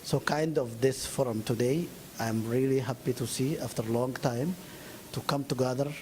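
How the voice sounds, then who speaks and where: A man from Ethiopia, a community leader answering interview questions earnestly with frequent pauses. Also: medium pitch, steady tone, dark tone, a little hiss or hum.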